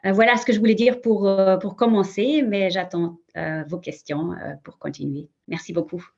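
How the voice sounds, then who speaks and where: A woman speaks on a conference panel in French, which is not her first language, halting and restarting her sentences with frequent fillers. Her voice sits in a middle register. The sound is dull and lo-fi, like an online call. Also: medium pitch, fairly even intonation, gravelly, darkish tone. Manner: hesitant, many fillers and restarts. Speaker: museum representative on a panel. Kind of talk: conference talk, spoken off the cuff.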